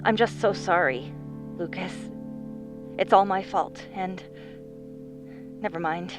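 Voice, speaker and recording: A man's voice: high-pitched, fairly even intonation, takes it slowly, music in the background, voice pushed up. A man voicing an anxious, pushy young woman who apologizes and rambles on the phone in an audiobook. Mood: rushed.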